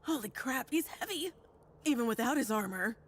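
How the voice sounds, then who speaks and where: A woman acting a breezy, teasing, loyal fighter in a sci-fi audio drama, speaking in a casual, slangy, expressive way. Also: a little high, normal speed. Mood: casual, surprised. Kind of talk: naturalistic audio drama dialogue.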